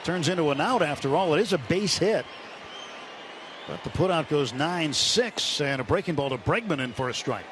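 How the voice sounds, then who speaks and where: Guy doing baseball radio play by play, voice a bit high, clipped calls, lots of ballpark noise behind him. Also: lively intonation, normal speed. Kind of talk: live baseball play-by-play commentary. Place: stadium broadcast booth. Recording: noisy live ballpark radio broadcast. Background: loud ballpark ambience.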